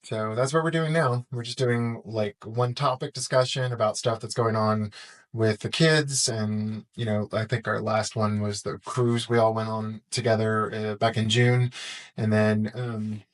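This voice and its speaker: A man's voice: fairly low pitch, steady tone, unhurried. A middle-aged American man from Texas talking casually as a podcast guest in an interview. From Texas, United States.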